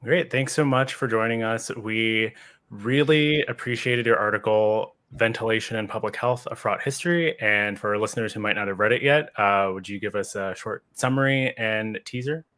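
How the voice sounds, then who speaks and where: A man's voice: lowish voice, moderate pace, a bit bright. A man hosting a podcast, interviewing guests in a conversational style.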